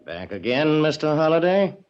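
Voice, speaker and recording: A man's voice: fairly high pitch, big pitch swings, normal speed, clean tone. An actor playing a watchful, obedient manservant guarding his employer, terse, in 1940s radio drama style. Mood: guarded, terse. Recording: muffled old radio recording, hissy and clicky.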